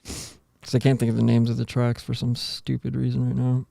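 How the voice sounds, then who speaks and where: A man's voice: mid-range voice, completely flat delivery, normal speed, vocal fry, dark tone, dry recording, studio quality. A man hosting a casual podcast, chatting and bantering in slangy speech with plenty of "dude". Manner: slangy, says "dude" often. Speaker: podcast host. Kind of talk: casual banter about music with co-hosts.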